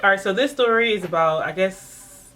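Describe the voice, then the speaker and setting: A woman's voice: medium pitch, normal speed. Chatty woman in her twenties telling a story. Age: 20s. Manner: animated, laughing, chatty. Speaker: vlogger. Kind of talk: casual story time.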